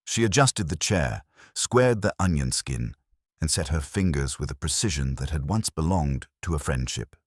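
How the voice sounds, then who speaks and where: Guy reading a detective story, super deep voice, wry delivery, pitch moves around a lot, no room sound. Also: animated, moderate pace, no echo, studio quality. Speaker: audiobook narrator. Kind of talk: scripted detective story narration.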